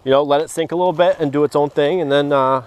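A man chats casually in an easygoing, halting way while fishing outdoors. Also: a little high, steady tone, a little mellow, smooth, no echo. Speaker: angler filming a fishing outing. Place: outdoors on a grassy pond bank. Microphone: body-worn action camera near the speaker. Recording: noisy outdoor action camera, nature ambience.

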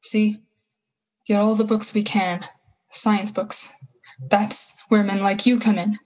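Female actor for a bitter war survivor in a radio drama, husky voice, folksy slang, full of grand plans. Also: medium pitch, flat delivery, darkish tone, poor audio. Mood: fervent, scheming. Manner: folksy, slangy, halting emphatic phrases. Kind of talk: 1930s-style radio play acting.

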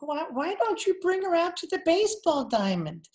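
High-pitched voice, gleeful silly sidekick, swooping intonation, gushing, radio comedy. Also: male voice, big pitch swings, normal speed, darkish tone. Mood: chirpy, eager. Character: gleeful, chattering male radio-show sidekick, teasing and silly. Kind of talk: old-time radio comedy acting. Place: indoor room at home. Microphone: computer mic on video call.

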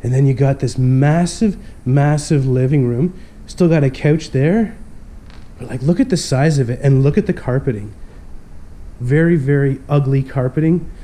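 A man's voice: medium pitch, moderate pace, warm tone. A young man with a stuffy cold, narrating a casual walkthrough of an empty abandoned mansion and chatting to viewers as he goes. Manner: upbeat, keeps addressing viewers as guys. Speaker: urban explorer vlog host.

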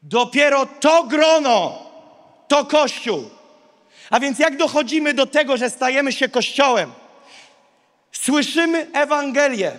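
High-pitched male preacher in a reverberant hall. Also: lively intonation, a bit bright. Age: 30s. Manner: emphatic, declarative, short repeated phrases with dramatic pauses. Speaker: pastor preaching. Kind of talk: sermon to a large live congregation. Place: large indoor auditorium stage. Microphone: handheld microphone. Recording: big reverberant hall PA sound.

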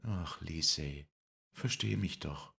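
A man's voice: rumbling low, animated, normal speed, creaky, fairly bright tone, exaggerated voice. A man reading an earnest young suitor, pleading.

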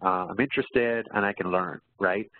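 A man's voice: sits low, fairly even intonation, normal speed. A man presenting as a career coach, answering webinar questions in an explanatory way, often checking in as he goes. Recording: muffled phone-like line in echoey room.

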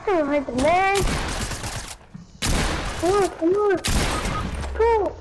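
Slow-paced, high female gamer voice. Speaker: game player. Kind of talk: casual game voice chat. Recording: noisy game voice chat with clicks.

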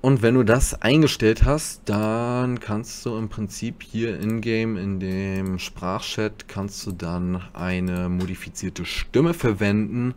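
A man's voice: lowish voice, fairly even intonation, takes it slowly, few pauses, darkish tone, slight background noise. A man giving a casual tutorial commentary as host, speaking into a desktop USB microphone.

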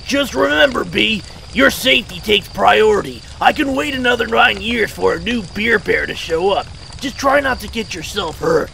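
A man doing a high, creaky, eager monster hunter. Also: expressive, normal speed, hardly any pauses, pushed and bright, slight background noise, full character voice, low-quality recording. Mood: reassuring. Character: eager, protective monster hunter, regent to a princess. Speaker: voice actor. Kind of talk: amateur audio drama dialogue. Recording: music over the voice.

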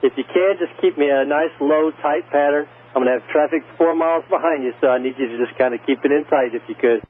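A fairly high-voiced American man directs air traffic over the radio. He explains things in informal phrases, and the sound is very narrow and tinny. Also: fast talker. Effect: radio transmission.

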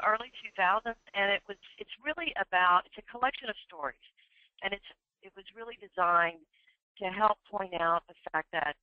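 A woman's voice: on the low side, no echo. A woman answering as an interview guest in a conversational style over the phone. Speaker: interview guest, women's leadership mentor. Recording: muffled phone line.

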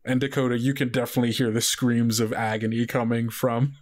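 Informal male game master, medium pitch. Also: level tone. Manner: informal, halting, frequent "like". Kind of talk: tabletop role-play narration and casual rules chat.

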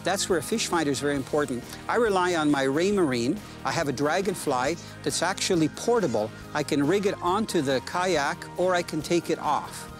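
An older man in his sixties talks through his fishing from a kayak out on a lake, his voice a touch higher than most men's. He explains in a relaxed, easygoing way, and music plays clearly underneath. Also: a little fast, few pauses. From Canada. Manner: relaxed and explanatory, easily excited by a catch. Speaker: fishing show host. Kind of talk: casual outdoor fishing commentary.

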